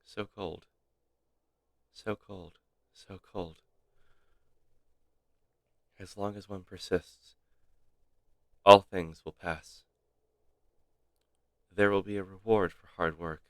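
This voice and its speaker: Deep-voiced man reading dramatically, dry sound. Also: fairly even intonation, slow, gravelly, pauses often, a bit bright, no echo, rough recording. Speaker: streamer reading game text aloud.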